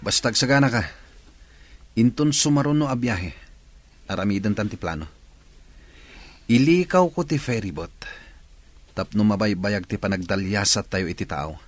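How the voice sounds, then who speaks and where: A male actor voices a ferry captain in a radio drama, low in pitch and sure of himself. He is a smooth-talking flirt with a scheming streak. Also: big pitch swings, moderate pace, long pauses, dry recording.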